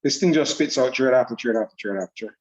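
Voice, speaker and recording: A man's voice: medium pitch, quick pace, dull audio. A man presenting a trading system on an online group call, upbeat and laughing often, with rambling restarts. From Jamaica.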